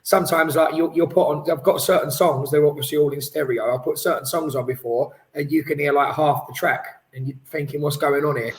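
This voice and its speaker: Male podcast co-host, slightly high voice, animated and rambling, casual studio chat. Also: brisk, few pauses, rough recording. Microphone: headphones on, studio mic.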